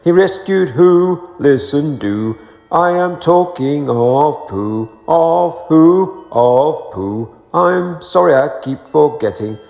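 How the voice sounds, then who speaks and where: A man voicing a simple, good-natured storybook bear chanting to himself in an anxious, drawn-out sing-song. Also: a little high, animated, gravelly, a little mellow, pitched above the performer's own voice, exaggerated voice. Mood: anxious, muddled. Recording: roomy echo, long reverb, faint music.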